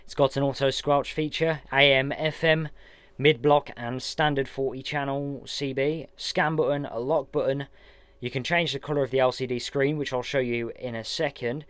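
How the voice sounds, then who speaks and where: A man with a smooth, clean voice talks through an unboxing in a chatty, step-by-step way. The sound is close and dry, with no room echo. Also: mid-range voice, steady tone, normal speed, a bit bright. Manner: chatty, hands-on, narrating each step. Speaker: vlogger unboxing radio gear. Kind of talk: casual product unboxing walkthrough.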